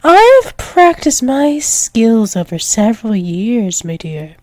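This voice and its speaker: A woman's voice: on the high side, sing-song, slow, darkish tone, no echo. A woman voicing a doting, dominant dragon-wolf demoness lover in an intimate ASMR roleplay, teasing with pet names and dropping into whispers. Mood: possessive, adoring.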